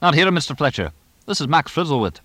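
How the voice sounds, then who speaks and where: A man voicing a fast-talking English horse trainer in an audiobook, drily blunt, chatty and deadpan in a clipped way. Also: on the high side, no echo, pitched above the performer's own voice. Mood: brisk, blunt. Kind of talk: audiobook character dialogue.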